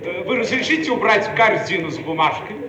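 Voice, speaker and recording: Gushing servile painter, super high and animated, radio play, echoey noisy old recording. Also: male voice, brisk, highs cut off. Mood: fawning, rapturous. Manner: obsequious, effusive, exclamatory. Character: fawning, gushing male court painter, servile flatterer. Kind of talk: theatrical satirical radio play acting.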